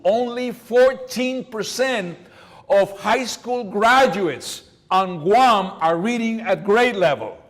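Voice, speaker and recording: An older man lecturing at a public seminar, speaking emphatically and repeating words to drive points home. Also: high-pitched. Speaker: guest speaker, educator. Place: lecture hall, at a podium. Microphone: podium microphone.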